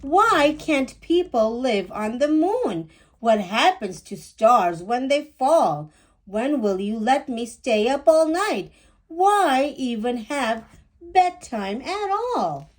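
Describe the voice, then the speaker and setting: A woman's voice: sits high, big pitch swings, slow, exaggerated voice. A woman voicing a curious little girl bubbling with questions, in an inquisitive, emphatic picture book read-aloud. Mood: curious, eager.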